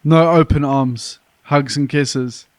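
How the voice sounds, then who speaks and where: A man's voice: mid-range voice, fairly even intonation, slow pace, dry recording. A man hosting a podcast, asking questions in a chatty way and joking at his own expense. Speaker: podcast host. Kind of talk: casual podcast interview.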